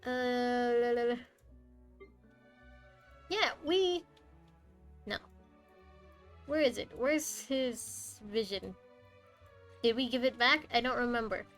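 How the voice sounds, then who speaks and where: A woman's voice: on the high side, slow pace, long pauses, a bit bright, soft background music. A woman doing casual live game commentary, reacting aloud in short muttered remarks. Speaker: game streamer.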